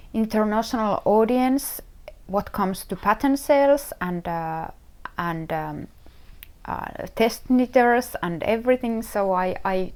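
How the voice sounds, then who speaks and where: A Finnish-accented woman in middle age talks through her own knitting podcast at a slow, unhurried pace. Her voice sits at an ordinary middle pitch, and she stops often with fillers, restarting sentences as she hunts for the right English word. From Finland. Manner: hesitant, many fillers and restarts, searching for words. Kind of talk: casual solo podcast talk.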